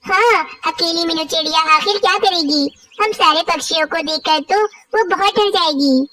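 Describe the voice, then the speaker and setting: Squeaky little cartoon bird, very high childlike pitch, exaggerated storybook delivery. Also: female voice, moderate pace, bright, forceful tone. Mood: scheming. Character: cartoon forest birds, scheming, envious and put-upon, squeaky and childlike. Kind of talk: Hindi children's moral story cartoon dialogue.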